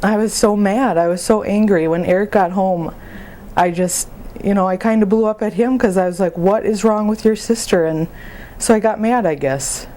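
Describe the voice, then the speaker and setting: A woman playing a grieving young mother in a support phone conversation, speaking haltingly and plainly about her feelings. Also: medium pitch, mellow, a little hiss or hum, lightly acted, rough recording. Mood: hurt, upset. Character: grieving young mother, sad, exhausted, openly hurting. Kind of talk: role-played counseling phone conversation.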